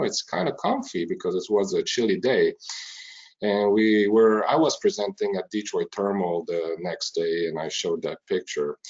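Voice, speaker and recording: A man's voice: fairly low pitch, a little mellow. A middle-aged man from Quebec with a French Canadian accent, presenting a webinar in a chatty, informal way with frequent fillers. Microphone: video call. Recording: muffled lo-fi webinar audio.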